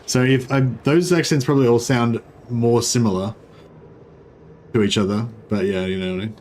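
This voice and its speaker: A man's voice: mid-range voice, steady tone, moderate pace, darkish tone, slight background noise. Chatty Australian man streaming a game. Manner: rambling, chatty, self-correcting. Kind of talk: casual gameplay commentary.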